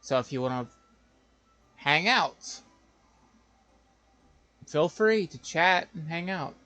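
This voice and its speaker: A man chatting casually as a live game streamer, rambling and halting, often repeating himself. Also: fairly high pitch, lively intonation, pushed and bright, no echo, soft background music.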